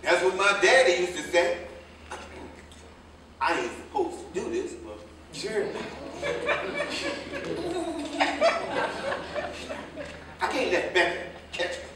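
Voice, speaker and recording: A man's voice: high voice, sing-song, unhurried, long pauses. A man in a staged play reading playing an older American tailor turned driver, a proud, hard-drinking, folksy storyteller who rambles. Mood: sly. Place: indoor theater stage, recorded from afar. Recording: echoey stage, distant mic, faint noise.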